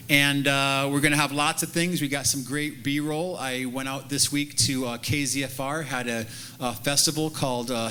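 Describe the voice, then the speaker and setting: A man's voice: on the high side, pushed and bright, a little hiss or hum. A man hosting a live community television show, opening with upbeat announcements and frequent fillers like "uh". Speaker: TV show host.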